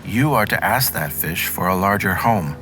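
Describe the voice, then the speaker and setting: A man's voice: sits low, animated, moderate pace, background noise, voice pushed up. A man voicing a greedy, haughty fisherman's wife in a storybook, imperious and entitled. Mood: demanding.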